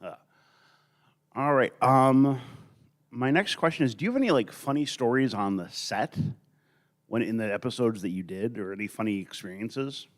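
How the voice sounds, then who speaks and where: Lively, joking man asking questions. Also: mid-range voice, moderate pace. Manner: friendly, joking, lively intonation. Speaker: interviewer. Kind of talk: casual interview questions.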